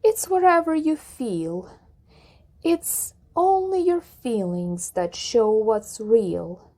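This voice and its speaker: A woman's voice: a little high, lively intonation, slow pace, dark tone, exaggerated voice. A woman voicing a sly male vulture in a children's storybook, gushing and persuasive in rhyme. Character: sly, flattering male vulture coaxing with false encouragement.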